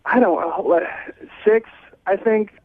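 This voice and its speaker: A man's voice: high voice, measured pace, over a noisy crowd. A man calling a radio show, casually telling a personal story in an earnest way over the telephone. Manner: earnest, serious. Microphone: telephone call. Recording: tinny narrow phone line.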